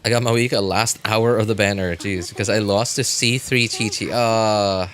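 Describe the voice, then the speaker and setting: A man chatting casually to viewers as a game livestreamer, rambling and often repeating himself in a halting way. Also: lowish voice, fairly even intonation, fairly bright tone.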